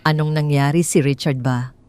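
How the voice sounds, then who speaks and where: A woman's voice: low voice, steady tone, moderate pace, a little mellow, smooth, no room sound, lightly acted. A woman narrator plays a female attorney in an audio drama, a loyal best friend. She speaks in a concerned, questioning way.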